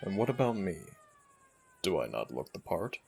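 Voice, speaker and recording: A man voicing an ancient jackal-headed god in an ASMR roleplay, gravelly and husky, speaking in stately, affectionate, gently teasing phrases to one listener. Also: deep voice, big pitch swings, normal speed, a little hiss or hum, heavily acted. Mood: reassuring. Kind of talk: ASMR roleplay audio drama.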